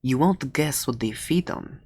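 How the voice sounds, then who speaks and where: Someone doing a chatty dragon egg seller for asmr roleplay, playful n rambly, takes it real slow, bit sarcastic. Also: mid-range voice, a little mellow, natural voice. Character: chatty, excitable dragon-egg shopkeeper and adventurer. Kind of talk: soft-spoken ASMR roleplay.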